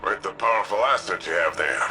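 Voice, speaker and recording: Man acting a low, gruff old sci-fi commander. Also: a little fast, natural voice. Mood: grudging. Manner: gruff, understated, terse. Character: gruff, grumpy older male sci-fi commander, terse. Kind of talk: video game cutscene acting. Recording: music behind the voice.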